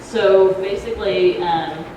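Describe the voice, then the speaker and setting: A soft-voiced woman giving an informal explanatory talk at a public forum, speaking in a halting, hesitant way. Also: on the low side, fairly even intonation. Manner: halting, hesitant, loses her thread. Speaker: ballot measure proponent, debate speaker. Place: community grange hall. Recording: echoey hall, distant noisy microphone.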